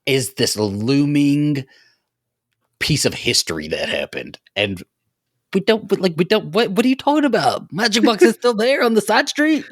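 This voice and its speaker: A man's voice: a little high, big pitch swings, moderate pace, no room sound, studio quality. A man co-hosting a podcast in casual, opinionated banter, emphatic and theatrically exasperated. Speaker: podcast co-host.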